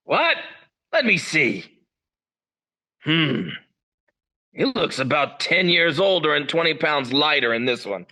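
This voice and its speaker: A man's voice: fairly high pitch, sing-song, takes it slowly, long pauses, sharp tone, full character voice. An actor playing a blunt, demanding male police captain in a radio drama. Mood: stern. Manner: blunt, insistent.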